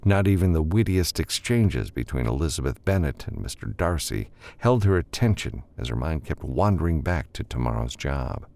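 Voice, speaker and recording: Low-pitched, gravelly male audiobook narrator. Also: lively intonation, moderate pace, few pauses, darkish tone. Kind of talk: scripted fiction narration.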